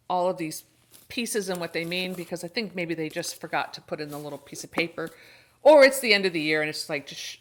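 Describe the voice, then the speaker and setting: A woman vlogger chatting casually to her viewers in an expressive, exclamatory way, thinking aloud as she goes. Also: fairly low pitch. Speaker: host of a horror book channel. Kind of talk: casual chatty unboxing vlog.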